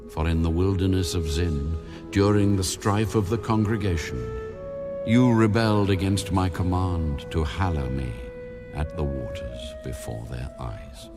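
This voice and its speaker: A man's voice: sits low, a little slow. A man playing God with solemn authority in a dramatized Bible reading. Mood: solemn, commanding. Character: God, commanding solemn male divine voice. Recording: music bed under the voice.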